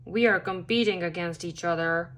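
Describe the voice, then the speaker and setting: A woman voicing a grumpy, competitive male cartoon cat musician with a gruff, earnest manner. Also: on the low side, moderate pace, a bit bright, pitched below the performer's own voice, lightly acted. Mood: indignant.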